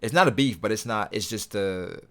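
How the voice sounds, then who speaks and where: A young man hosting a casual livestream, reading viewer comments aloud and answering them in a relaxed, rambling way. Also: on the low side, moderate pace. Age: young adult. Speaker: livestream host reading chat.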